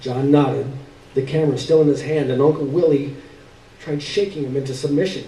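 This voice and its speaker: A man giving an expressive live reading of a literary story, with dramatic, halting pauses. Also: mid-range voice. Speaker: story reader. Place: live stage reading indoors. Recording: roomy, distant stage recording.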